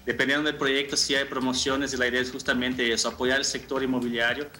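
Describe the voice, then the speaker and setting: Male interview guest, thirties, mid-range and bright, informal and slightly halting, video-call sound with soft music under. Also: medium pitch, flat delivery. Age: 30s. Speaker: marketing manager, interview guest. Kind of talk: informal explanatory interview answers. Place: indoor room, on a video call.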